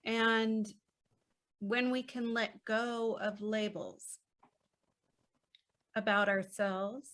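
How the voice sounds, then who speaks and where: A woman speaking as a podcast guest in a reflective conversation, gentle, unhurried and reassuring. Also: on the high side, flat delivery. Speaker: podcast guest, children's book author.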